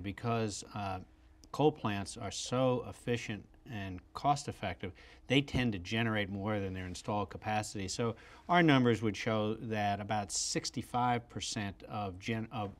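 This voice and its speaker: Low-pitched older American male panelist. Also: moderate pace. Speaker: expert panelist. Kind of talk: unscripted panel discussion. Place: TV studio at a desk.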